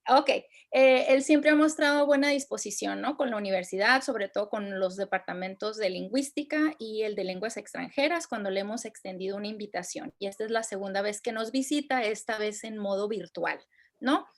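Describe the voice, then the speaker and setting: A woman's voice: mid-range voice, fast. A woman formally introducing a guest speaker at a university session. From Mexico. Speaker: event host introducing the speaker.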